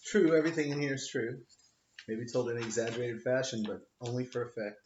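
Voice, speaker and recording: A man in the middle of the usual pitch range reads from his own book with a wry, offhand delivery and leans on the punchlines. The sound is dull and lo-fi, and the room gives it a noticeable echo. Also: fairly even intonation, fast talker, a little mellow, a little hiss or hum. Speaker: foreclosure defense lawyer reading his own book. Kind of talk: reading his book aloud with offhand asides. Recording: roomy, dull lo-fi home recording.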